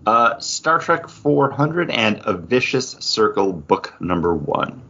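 A man's voice: fairly low pitch, big pitch swings, slow, pushed and bright, husky. A man hosting a podcast, chatting casually and informally in an off-the-cuff way. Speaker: podcast host. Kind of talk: casual chat, reading out award nominees. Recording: dull, lo-fi podcast audio.

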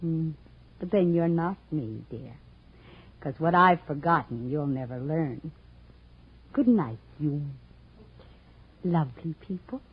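An actress playing a brassy, scheming widow in 1940s radio crime style, sliding between sugary cooing and sneering. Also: female voice, low-pitched, expressive, takes it slowly, long pauses. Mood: hard, cynical. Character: brassy, scheming gold-digger widow, cooing over her lapdog. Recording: muffled old-time radio recording.

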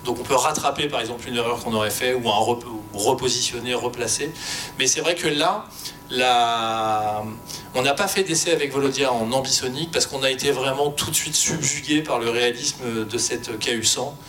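A man speaking informally and spontaneously as a panelist, explaining technical work with halting, repeated words. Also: fairly bright tone. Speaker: sound fiction creator on an expert panel. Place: panel discussion before an audience. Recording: faint rumbling background noise.

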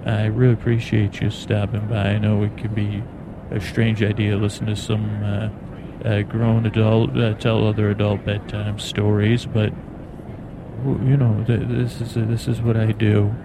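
Clear cabin noise surrounds an American man with a low, gravelly voice. He rambles soothingly as a bedtime podcast host, halting and meandering as he goes. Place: aboard an airplane in flight. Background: steady plane noise.

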